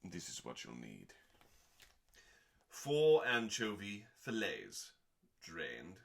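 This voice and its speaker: A man hosting a dramatic cookbook reading, delivering recipes in a measured, theatrical way with deliberate pauses and deadpan asides. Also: low-pitched, vocal fry. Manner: theatrical, measured, with pauses between short phrases.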